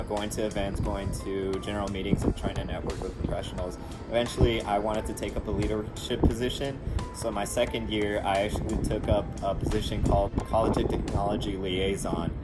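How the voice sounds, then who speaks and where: A young man from Houston, a recent graduate now working as an engineer, talking earnestly to camera from an outdoor rooftop. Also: lowish voice. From Houston, Texas. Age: young adult. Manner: earnest, conversational, with small restarts. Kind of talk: talking to camera about his studies and career.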